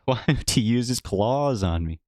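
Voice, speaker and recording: A man's voice: mid-range voice, lively intonation, unhurried, a little mellow, no room sound, studio quality. A man streaming while he draws his webcomic, who rambles in a casual, self-mocking and jokey way. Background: faint background music.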